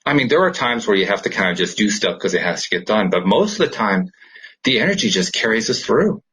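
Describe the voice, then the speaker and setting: A man hosting a casual podcast conversation in an upbeat, enthusiastic manner, with a husky, rough-edged voice. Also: lowish voice, sing-song, a little fast, a bit bright, poor audio. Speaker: podcast host.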